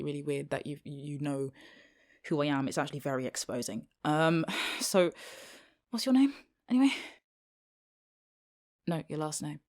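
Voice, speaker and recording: Low-voiced, exasperated British schoolgirl magical girl, acted by a woman. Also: darkish tone, close to an everyday voice. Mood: resigned, awkward. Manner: casual, halting, sardonic, slangy. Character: reluctant, exasperated teenage schoolgirl who is secretly a magical girl. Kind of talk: ad-libbed audio roleplay.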